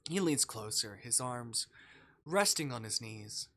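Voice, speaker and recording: A man livestreaming, reading a visual novel aloud in a dramatic, hushed storytelling way. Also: medium pitch, lively intonation, unhurried. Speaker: streamer. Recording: faint music behind. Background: faint music.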